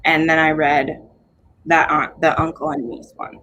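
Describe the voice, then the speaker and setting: A woman's voice: sits low, level tone, a little slow, fairly bright tone, lo-fi sound. Woman chatting casually, noticeably noisy audio. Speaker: book reviewer in a livestream readalong. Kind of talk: casual group chat about books. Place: at home on a group video call. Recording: noisy home livestream audio.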